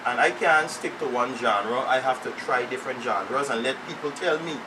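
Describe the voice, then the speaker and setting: A man from Trinidad talks about himself as a guest in a TV studio. He is earnest and keeps circling back, repeating and restarting his phrases. He sits some way off the mic, under a steady hiss of studio noise. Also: mid-range voice, moderate pace. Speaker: musician guest. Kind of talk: TV studio interview. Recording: distant mic with noisy studio air.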